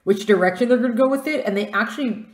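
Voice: mid-range voice, quick pace, reverberant. A podcast host asking questions casually over a video call, with frequent encouraging backchannel. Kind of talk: casual interview chat.

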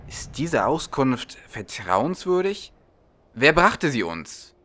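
A man's voice: medium pitch, sing-song, takes it slowly, bright, forceful tone, heavily acted. A man voicing a shrewd, self-assured living god who leads an army, in a fantasy audiobook. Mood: probing. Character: shrewd, amused living god and war leader.

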